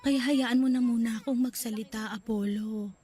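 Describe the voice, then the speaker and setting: An actress playing a gravely ill young woman, tender and loving, speaking softly and pleading in a radio drama. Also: fairly high pitch, fairly even intonation, normal speed, soft background music, close to an everyday voice. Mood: earnest. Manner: soft and pleading, voice breaking into tears.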